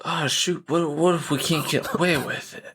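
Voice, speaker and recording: A man voicing a mischievous, scheming school kid in a teasing, halting way for a tabletop game. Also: fairly high pitch, steady tone, a bit bright, slight background noise, lightly acted.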